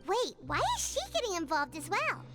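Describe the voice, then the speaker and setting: A woman voicing a tiny cheerful childlike fairy companion in a video game, squeaky and eager, with music behind. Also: very animated, normal speed. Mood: concerned, curious. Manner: perky, eager, refers to self in third person.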